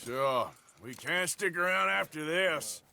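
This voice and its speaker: Gruff american cowboy outlaw for a game, short grumbly replies, pitch jumps around. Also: male voice, expressive, takes it slowly, sharp tone, a little hiss or hum, exaggerated voice. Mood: brisk. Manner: terse, grumbling. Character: gruff, loyal adult male outlaw gunslinger. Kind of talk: cinematic video game acting.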